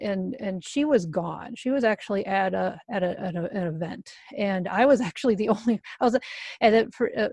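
A woman is recounting a personal experience in a casual interview. Her voice sits at a middle pitch, and she restarts phrases and voices other people's words as she goes. The sound is dry, with little room around it. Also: a little mellow, no echo. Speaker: podcast guest, writer.

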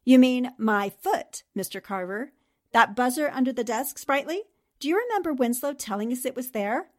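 An actress playing a quick-witted, self-assured woman columnist in 1940s-style radio drama. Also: high voice, lively intonation. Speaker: voice actor.

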